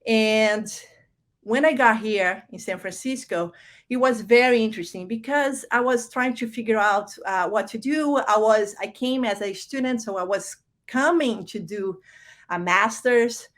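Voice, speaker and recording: A woman's voice: a little high, a little slow. A woman from Brazil with a Brazilian Portuguese accent, giving a conversational conference keynote with frequent fillers and restarts. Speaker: keynote speaker.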